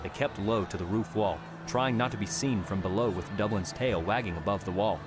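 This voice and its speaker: Man, deep voice, measured audiobook narration, music behind. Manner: measured, steady reading. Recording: narration over background music.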